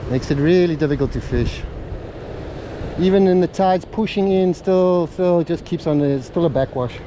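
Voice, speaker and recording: Man narrating over loud surf. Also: a little high, dull audio. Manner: relaxed, halting, many fillers. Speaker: fishing vlogger. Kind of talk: casual walking commentary. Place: outdoors on a rocky beach by the surf. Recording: outdoor camera audio with wind and surf noise. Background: crashing waves and wind.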